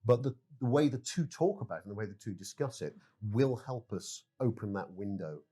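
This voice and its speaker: Man, fairly low pitch, rough-edged husky tone, hesitant phrasing, measured, explanatory interview delivery. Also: lively intonation, warm tone. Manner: halting, deliberate, measured phrases. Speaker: game developer being interviewed.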